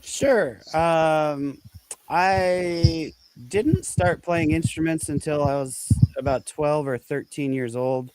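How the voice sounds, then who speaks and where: Slow-talking man with many ums. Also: a little high, darkish tone, a little hiss or hum, rough recording. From Montana. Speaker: band member guest. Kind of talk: telling his story in an interview.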